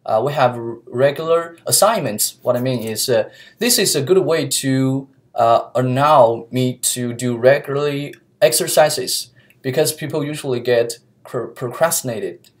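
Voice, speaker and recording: A young man from China speaks English with a Chinese accent, earnest and careful. He pauses with fillers and restarts phrases as he looks for words. His voice is picked up by a laptop webcam in a small office room. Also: mid-range voice. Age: young adult. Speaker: student interviewee. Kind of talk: mock job interview. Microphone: laptop webcam mic.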